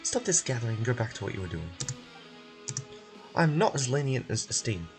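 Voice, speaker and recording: A man's voice: medium pitch, sing-song, a little fast, soft background music, close to an everyday voice. Man playing a stern, commanding enforcer. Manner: commanding, severe. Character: stern, domineering enforcer demanding order.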